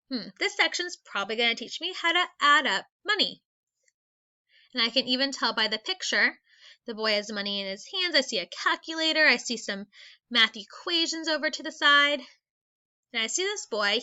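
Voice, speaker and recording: Lady teacher doing a kids read-aloud lesson, high bright voice, kinda dull screencast audio. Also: lively intonation, moderate pace, smooth. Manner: instructional, counting out step by step. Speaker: elementary school teacher.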